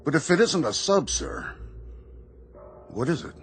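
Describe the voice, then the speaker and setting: Animated, earnest Navy lieutenant. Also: male voice, fairly high pitch, measured pace, slight background noise. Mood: baffled, eager. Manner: formal, deferential, animated. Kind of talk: radio drama acting.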